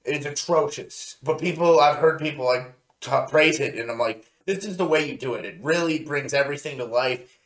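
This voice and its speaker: A man's voice: on the high side, moderate pace, a bit bright. A man co-hosting a review show, talking casually with blunt opinions, recorded in a roomy, echoey space. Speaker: podcast co-host reviewing anime.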